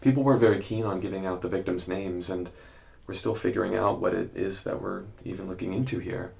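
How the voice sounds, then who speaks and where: A man's voice: low-pitched, fairly even intonation, brisk, vocal fry, a little mellow. An actor playing a male amateur investigator who asks direct, probing questions in a naturalistic audio drama interview. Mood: probing, defensive.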